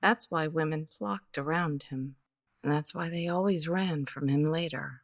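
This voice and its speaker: A woman playing a weary, guarded, bittersweet widow in the style of a 1950s radio detective drama, speaking in a measured, hesitant way. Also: low voice. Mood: wistful, bruised.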